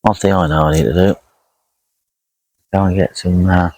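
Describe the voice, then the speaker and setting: A man's voice: low voice, fairly even intonation, normal speed, darkish tone, low-quality recording. A man chatting casually and unhurriedly as he narrates an outdoor fishing vlog by a lakeside, rambling as he goes. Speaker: angler vlogging a fishing trip.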